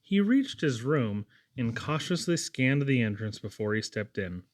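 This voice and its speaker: A man's voice: medium pitch, sing-song, unhurried. A man reading a novel aloud as scripted audiobook narration. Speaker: audiobook reader.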